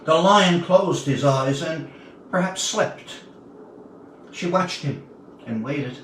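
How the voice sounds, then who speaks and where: A man of about seventy from Newfoundland, Canada, reading his own tale aloud as a storyteller, with deliberate, dramatic pauses. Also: a little high, expressive, a bit bright. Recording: roomy, distant mic, faint background noise.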